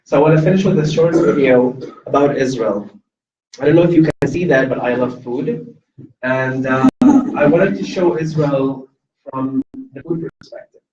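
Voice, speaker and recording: A man's voice: on the high side, darkish tone, a little hiss or hum. Earnest man speaking in an echoey hall. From Lebanon. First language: Arabic. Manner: earnest and impassioned, with halting restarts and fillers. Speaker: invited speaker at a campus event. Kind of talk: personal testimony talk to an audience. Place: university event hall. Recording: echoey hall, dull and distant.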